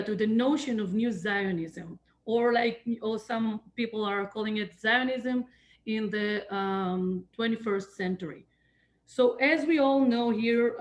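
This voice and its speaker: A woman from Israel with an Israeli accent, giving an online talk as a visiting speaker, pausing often with fillers and restarts over a video call. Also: fairly high pitch, lo-fi sound. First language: Hebrew. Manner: hesitant, many fillers and restarts. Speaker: guest speaker, nonprofit emissary.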